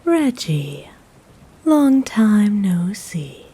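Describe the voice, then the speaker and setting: A woman playing a refined, world-weary vampire noblewoman in an ASMR roleplay, speaking formally and unhurriedly in a soft, often whispered voice. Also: medium pitch, very animated, drawn-out words, husky, full character voice. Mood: cool, composed.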